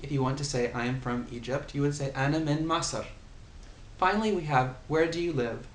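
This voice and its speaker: Guy patiently walking through phrases, echoey room, faint hum behind. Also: medium pitch. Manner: slow, patient explaining with repeated example phrases. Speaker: language tutor. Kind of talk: casual spoken language lesson.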